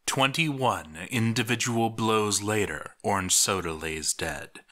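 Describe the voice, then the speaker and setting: Male narrator for a fantasy tale: low, bright-edged, theatrical, unhurried. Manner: theatrical, measured, lingering on phrases. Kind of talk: dramatic scripted story narration.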